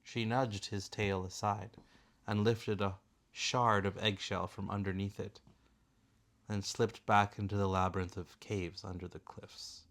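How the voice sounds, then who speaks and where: A man's voice: on the low side, little pitch movement, measured pace, a bit bright. A man reading a children's fantasy storybook aloud as narrator. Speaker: father reading a bedtime story.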